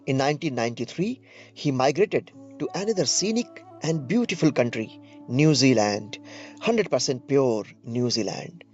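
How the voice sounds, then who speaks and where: A man giving scripted documentary narration in a measured, descriptive way. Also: mid-range voice, animated, a little mellow. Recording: dull roomy voice-over over music.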